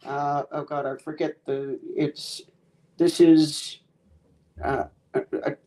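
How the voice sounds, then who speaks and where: A man's voice: fairly high pitch, flat delivery, takes it slowly, warm tone, low-quality recording. A man on a casual political chat who halts, loses his words and restarts.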